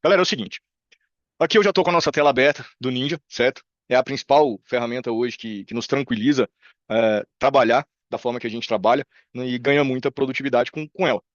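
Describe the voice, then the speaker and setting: A man's voice: mid-range voice, fast, no echo, muffled recording. A Brazilian man in his thirties speaking Portuguese with a Brazilian accent, presenting an informal, chatty live screen-share tutorial. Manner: informal, chatty, checks in with listeners. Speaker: presenter demonstrating software. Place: indoor room on a video call.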